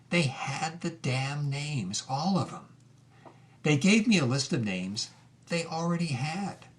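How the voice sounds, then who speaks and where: A man's voice: medium pitch, close to an everyday voice. An actor playing a bitter, defeated man voicing his farewell letter in an audio drama. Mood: bitter, sardonic.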